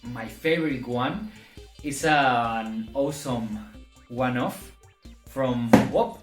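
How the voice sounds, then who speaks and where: Slow, excited man. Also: mid-range voice, over loud music. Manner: excited, laughing. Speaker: toy collector. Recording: echoey room.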